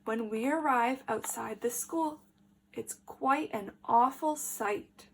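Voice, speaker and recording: A woman teacher reading a picture book aloud to young children, expressive and emphatic, addressing them directly with questions. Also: fairly high pitch, takes it slowly, vocal fry, slight background noise.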